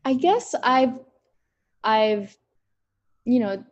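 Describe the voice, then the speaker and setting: A woman's voice: fairly high pitch, animated, very slow, highs cut off. Bubbly young woman on a video call. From Philippines. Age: 20s. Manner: chatty, bubbly, fillers like "like" and "literally". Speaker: model interviewed at a press conference. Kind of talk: casual online press conference answers.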